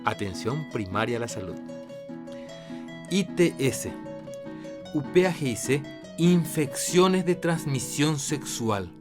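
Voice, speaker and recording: A man with a Paraguayan accent giving scripted health education as a radio presenter, in a didactic, deliberate way. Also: medium pitch, animated. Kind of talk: scripted educational narration in Jopara. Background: guitar music.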